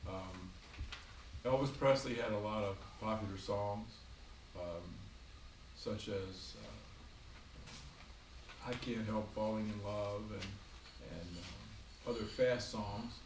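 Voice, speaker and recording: A man in his sixties from Virginia, a grandfather answering his grandchild's questions at home by the fire, explaining in a measured way with frequent ums and uhs. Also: lowish voice, reverberant, distant mic, background noise. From Virginia, USA. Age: 60s. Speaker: grandfather being interviewed. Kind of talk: family interview, casual recollection. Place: home, beside a fireplace.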